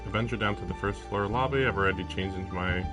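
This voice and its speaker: A man's voice: lowish voice, fast talker. A man streaming a visual novel, reading its first-person narration aloud. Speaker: game streamer. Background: game music.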